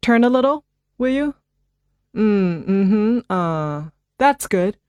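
A woman voicing a calm, reassuring family doctor in a scripted listening dialogue. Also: medium pitch, no room sound. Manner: clear, measured, reassuring delivery.

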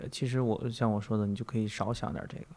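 A man's voice: lowish voice, steady tone, fast, warm tone. A man hosting an interview as a casual, reflective chat. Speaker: podcast host, interviewer.

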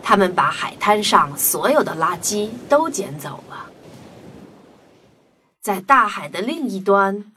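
A woman narrating a scripted children's story in an expressive storytelling manner. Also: mid-range voice, slow pace, pauses often, slight background noise.

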